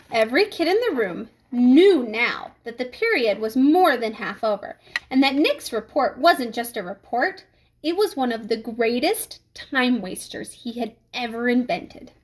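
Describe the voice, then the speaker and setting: A woman reads a children's novel aloud. Her voice is high and very animated, giving the scripted narration plenty of expression. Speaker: storybook reader.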